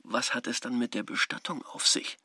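An actor playing a shrewd middle-aged economist in a radio play, reasoning in a deliberate, pedantic way with a gravelly, husky voice. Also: male voice, mid-range voice, bright, forceful tone, no room sound, studio quality. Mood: puzzled, reasoning. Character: shrewd middle-aged Kiev economist puzzling over a telegram.

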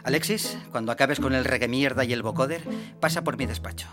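An actor playing a jaded, touchy middle-aged has-been music producer in a comedic audio drama, given to theatrical grumbling. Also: male voice, mid-range voice, fairly quick, natural voice. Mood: bitter, exasperated.

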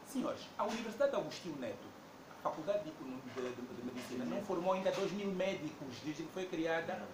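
Emphatic Angolan man in an echoey hall. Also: high voice. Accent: Angolan Portuguese. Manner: emphatic, rhetorical questions, indignant. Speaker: debate speaker. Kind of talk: public debate talk. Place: debate hall indoors. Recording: echoey room with background noise.

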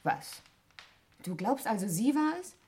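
An actress playing a cool, shrewd, teasing sister in a 1960s German radio murder mystery, with an ironic, probing manner. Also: female voice, on the high side, very animated, measured pace, a little mellow. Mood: knowing, curious. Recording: noisy 1960s radio recording with clicks.

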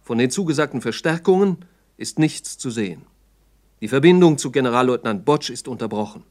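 A male narrator with a medium-pitched voice reads scripted, documentary-style radio drama. His delivery is sober and factual, like an official report from the 1960s.

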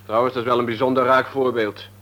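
A cheeky young layabout acted by a man in a Dutch radio drama, speaking at an average male pitch with a Netherlands accent. He is guarded and cynical, answering in an insolent, offhand way. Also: little pitch movement, fast, fairly bright tone, lightly acted. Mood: defiant, cynical. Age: young adult. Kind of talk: Dutch radio play acting.

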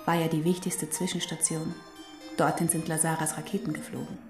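An actress in a German radio drama playing a knowing teacher who explains history in a deliberate, emphatic way. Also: female voice, low voice, little pitch movement, reverberant. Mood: matter-of-fact, emphatic. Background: music.

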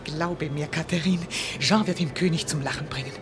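An actress playing a devoted theatrical wife of a troupe leader in a German radio drama, emotional and dramatic in delivery. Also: female voice, low-pitched, normal speed, noisy background, lightly acted. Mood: proud, tender.

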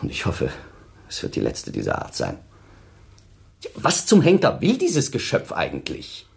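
Scornful thirty-year-old ex-lover, radio drama, low husky voice, wildly swooping intonation, haughty, dismissive. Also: male voice, huge pitch swings, measured pace, heavily acted. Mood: scornful, exasperated. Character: cold, self-pitying thirty-year-old man, callous scornful former lover. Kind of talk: German radio play acting.

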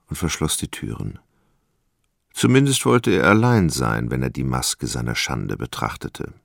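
Casting: male literary narrator, gravelly, dry close studio sound, dramatic measured delivery. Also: rumbling low, no room sound.

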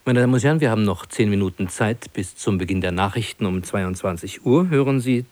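A man announcing on the radio in a formal, scripted style with measured phrasing. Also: lowish voice, a little mellow, dry recording. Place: dry broadcast studio.

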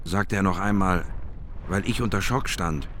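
A shaken man gives first-person testimony of a desperate rescue in a radio drama. His voice sits low. Faint rumbling ambience is behind him. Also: moderate pace, fairly bright tone, slight background noise. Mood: shaken, urgent. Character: shocked man recounting a desperate water rescue.

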